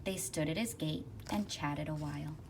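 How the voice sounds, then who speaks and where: A woman reading a rhyming children's picture book aloud expressively, with rhythmic, deliberate phrasing. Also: low voice, darkish tone. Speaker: school counselor reading aloud. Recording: distant mic with faint noise.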